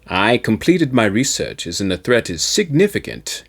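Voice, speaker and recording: A diligent male aide speaks with careful deference , as a man reading an audiobook plays him. The voice is slightly low and respectful, moving expressively in pitch as befits far-future military fiction. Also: very animated, normal speed. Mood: cautious, urging.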